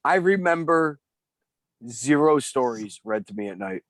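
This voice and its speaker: A man's voice: mid-range voice, lively intonation, unhurried, dry recording. A middle-aged man co-hosting a casual comedic podcast, with a wry, sweary way of talking that circles back on itself. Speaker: podcast co-host.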